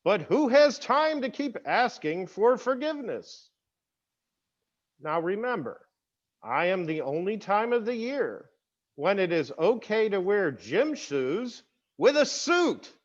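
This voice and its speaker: A man playing a self-important, kvetching fast-day holiday in a theatrical amateur comedy monologue. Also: high voice, highs cut off, heavily acted. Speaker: rabbi. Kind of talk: scripted comic monologue, amateur readers' theater.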